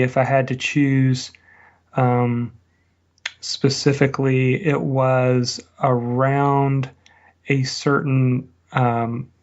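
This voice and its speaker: Man in a dull, lo-fi interview recording. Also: medium pitch. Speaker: interview guest, blogger and online business teacher. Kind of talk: conversational interview answers, reflective storytelling.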